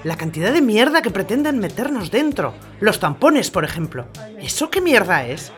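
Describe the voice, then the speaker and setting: Woman acting angry foul-mouthed ranter, blunt, comic audio drama, animated intonation, faint music. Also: mid-range voice, moderate pace, lightly acted. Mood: fed up, indignant. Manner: indignant, blunt, sweary. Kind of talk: comic theatrical monologue in audio fiction.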